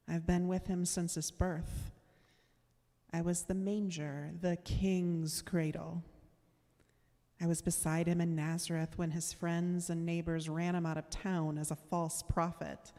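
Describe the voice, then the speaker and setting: A woman playing Shame personified as a somber, insidious spirit in a solemn, measured dramatic reading at church. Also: on the low side, dark tone. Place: church sanctuary during a service. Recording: reverberant church hall.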